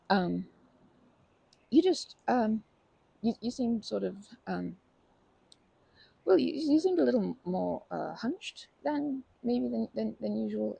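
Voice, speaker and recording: A woman playing a timid, kind park regular in an ASMR roleplay, speaking softly in halting, stammering, self-correcting sentences. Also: mid-range voice, vocal fry, breathy edge, no room sound, low-quality recording. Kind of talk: ASMR roleplay, improvised-sounding intimate monologue.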